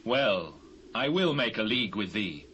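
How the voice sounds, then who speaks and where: A British man playing a measured, stern biblical leader in a dramatised scripture reading. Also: on the low side, very animated, sharp tone, heavily acted. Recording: hissy old cassette transfer.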